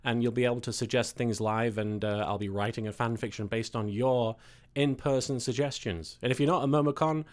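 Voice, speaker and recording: A man's voice: mid-range voice, fairly even intonation, fairly quick. A man hosting a fan fiction show, moving between casual announcements and scripted introduction.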